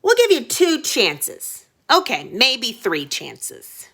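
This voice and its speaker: A woman's voice: on the high side, wildly swooping pitch, slow pace, a bit bright, slightly rough, voice pushed up, heavily acted. A woman voicing a bickering, menacing three-headed dragon in a children's storybook read-aloud, with an emphatic, taunting manner.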